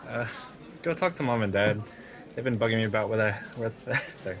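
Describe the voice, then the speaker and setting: A man's voice: fairly low pitch, fairly quick, a bit bright. A young man playing a sarcastic brother in an amateur online drama, with a scoffing manner. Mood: teasing.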